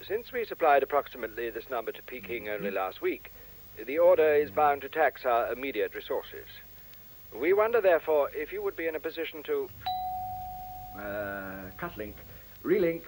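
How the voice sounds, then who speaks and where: An actor playing a genial, busy middle-aged executive in a science fiction radio play, speaking with hesitations and exclamations. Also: male voice, medium pitch, low-quality recording. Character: genial, busy male executive in a future world.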